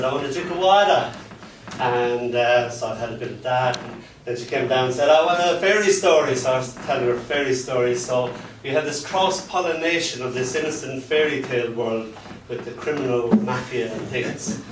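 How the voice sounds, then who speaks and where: A man performing dark comic poetry and stories live, with a theatrical delivery and dramatic pauses. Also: mid-range voice, fairly even intonation. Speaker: spoken word poet. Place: spoken word venue in a London pub. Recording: echoey live venue, distant mic, background noise.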